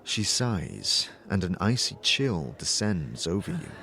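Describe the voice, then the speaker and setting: A man with a fairly low voice narrates fantasy fiction in a measured way. His delivery is animated in pitch and descriptive, with faint noise behind it. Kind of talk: dramatic scripted fantasy narration.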